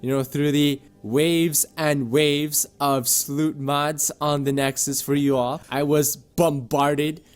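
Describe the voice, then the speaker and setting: A man who makes gaming videos on YouTube, doing casual gameplay commentary and channel talk in an excitable, joking way, with lots of swearing. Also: fairly high pitch, slow, few pauses, soft background music.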